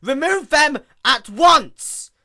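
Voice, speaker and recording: Woman voicing a haughty, booming pony princess. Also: lively intonation, extremely slow, bright, forceful tone, heavily acted. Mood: outraged. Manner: grand, formal royal diction. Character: regal, haughty pony princess, loud and easily outraged.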